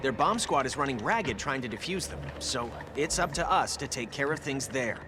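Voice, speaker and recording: A man's voice: medium pitch, expressive, normal speed, background noise, natural voice. A man voicing a brainy, earnest teenage mutant turtle in a video game, explaining things with urgency. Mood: urgent, earnest. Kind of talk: video game cartoon voice acting.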